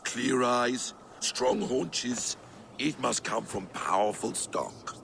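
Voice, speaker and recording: A man playing a sly, clever Norse trickster god in a dramatic video game, with a wry, smooth-tongued manner. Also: medium pitch, takes it slowly, noisy background. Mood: measured.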